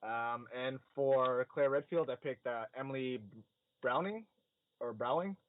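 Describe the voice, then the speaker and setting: A man's voice: mid-range voice, a little slow. A man speaking as a panelist in a casual film chat. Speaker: podcast panelist. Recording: tinny dry phone-like call audio.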